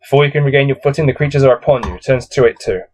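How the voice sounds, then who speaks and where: A man with a mid-pitched voice hosts a solo gamebook read-through. He reads the text aloud and muses over it in a casual, self-deprecating way. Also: racing, darkish tone. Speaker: gamebook let's-play host.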